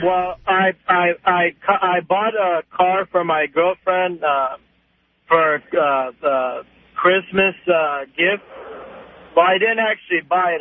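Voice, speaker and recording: A man with a high voice talks on a radio phone-in. He is halting and stammers as he goes, and the phone line makes him sound tinny and narrow. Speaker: radio show caller. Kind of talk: casual phone chat.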